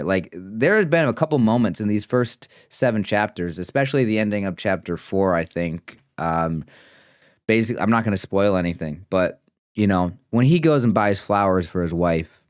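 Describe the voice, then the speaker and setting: A man's voice: fairly low pitch, clean tone, no room sound, clean studio sound. Earnest rambling man in his late twenties. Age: 20s. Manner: rambling, earnest, many fillers and restarts. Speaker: vlogger. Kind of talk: casual reflective vlog talk.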